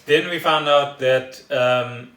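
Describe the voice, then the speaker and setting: A man's voice: mid-range voice, slow pace, fairly bright tone. A South African man co-hosting a podcast in casual chat, explaining things in a rambling way. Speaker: podcast co-host. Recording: echoey room, distant mic.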